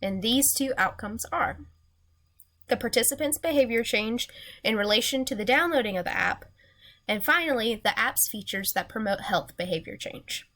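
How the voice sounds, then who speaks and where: A woman's voice: on the high side, lively intonation, moderate pace, fairly bright tone. Nasal young woman presenting for class. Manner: earnest, reading from slides. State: recovering from a sinus infection. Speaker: student presenter.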